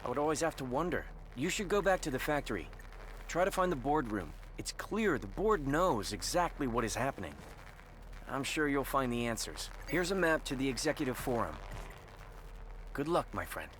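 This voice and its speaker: An actor playing a friendly, world-weary survivor in a video game, talking in an informal, wry way. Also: male voice, mid-range voice, sing-song, moderate pace, fairly bright tone, a little hiss or hum, poor audio. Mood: earnest, grateful. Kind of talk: conversational game voice acting.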